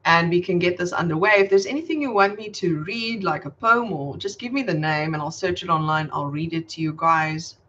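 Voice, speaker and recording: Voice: medium pitch, brisk, few pauses, bright, forceful tone. Afrikaans-native host, muffled stream audio. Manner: friendly, explaining patiently, reading viewer comments aloud. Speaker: channel host answering viewer questions. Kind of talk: casual livestream chat and language tips.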